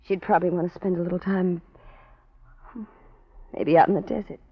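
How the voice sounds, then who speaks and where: A woman's voice: fairly low pitch, steady tone, normal speed. An actress playing a world-weary, guarded young nightclub woman in a 1940s radio drama, speaking hesitantly and wistfully. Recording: dull 1940s radio recording.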